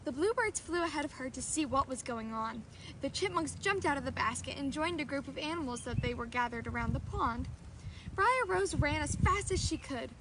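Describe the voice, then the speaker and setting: A woman's voice: sits high, fairly bright tone, a little hiss or hum, low-quality recording. A woman storyteller reading a children's fairy tale aloud.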